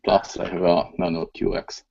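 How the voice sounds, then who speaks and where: A man with a Hungarian accent, a guest on an online call, talking hesitantly with many pauses. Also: low voice, level tone, vocal fry, a little mellow, dry recording. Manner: hesitant, many ums, simple phrasing. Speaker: guest listener. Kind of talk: casual chat. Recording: dull lo-fi call audio.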